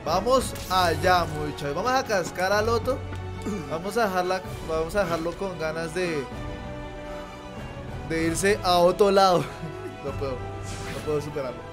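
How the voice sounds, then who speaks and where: A man's voice: sits high, measured pace, long pauses. A young Colombian man with a Colombian accent, chatting and joking with viewers as he plays a video game at home. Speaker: streamer. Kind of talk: casual gameplay commentary. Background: game music.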